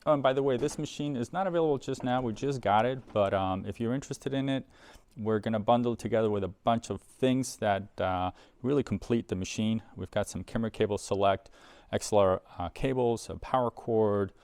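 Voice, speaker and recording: A man's voice: fairly low pitch, brisk, darkish tone, clean tone, dry recording. A man giving a casual, explanatory product demonstration in an informal way, as a presenter for an equipment seller.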